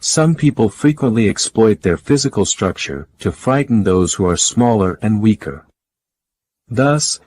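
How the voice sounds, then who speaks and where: A man's voice: lowish voice, a little mellow, no room sound. A man reading a scripted practice passage aloud as a model reader.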